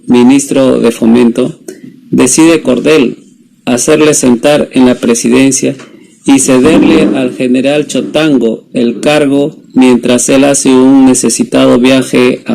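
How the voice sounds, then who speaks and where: A man's voice: medium pitch, creaky, warm tone, poor audio. A young man, a student presenter, reading a scripted story summary as narrator. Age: young adult.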